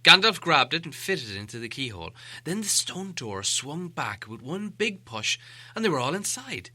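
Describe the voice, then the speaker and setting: A man narrating an audiobook tale in an expressive, scripted storytelling style. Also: medium pitch.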